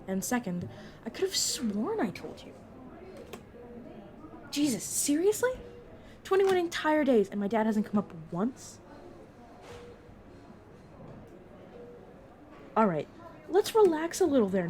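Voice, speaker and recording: A woman playing a brisk, protective vampire mentor in an audio roleplay, talking casually and teasingly to a new fledgling. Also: fairly high pitch, sing-song, a little mellow. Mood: exasperated, teasing. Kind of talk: narrative audio roleplay, addressed to the listener.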